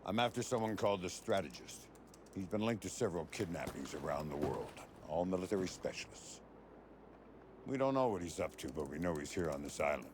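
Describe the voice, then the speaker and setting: An actor playing a gruff, terse middle-aged special agent with a deep, gravelly voice in a video game cutscene. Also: male voice, lively intonation, a little fast. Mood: terse, guarded. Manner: terse, commanding. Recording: loud hiss and rain noise.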